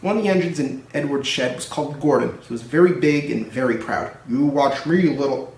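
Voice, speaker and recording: A man's voice: on the high side, expressive, a little fast, creaky. A man narrating a children's story as a storybook reader. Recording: roomy echo, distant microphone.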